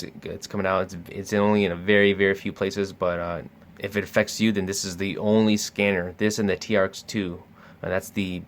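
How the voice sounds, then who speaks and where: A man casually talking through product features as a store salesperson, with many uh and um fillers. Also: sits low. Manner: easygoing, lots of uh and um fillers. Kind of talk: casual product demo talk.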